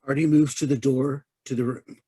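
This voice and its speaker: Male stage directions reader. Scripted narration, medium pitch, close dry sound. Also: steady tone, normal speed, mellow, breathy edge, no room sound.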